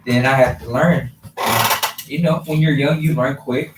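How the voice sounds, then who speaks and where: A man's voice: medium pitch, fairly even intonation, measured pace. A man in his twenties from Los Angeles answering casually as an interview guest, often repeating phrases as he goes. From Los Angeles, partly raised in Honduras. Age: 20s. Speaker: guest, professional boxer. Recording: roomy, distant mic, faint background noise.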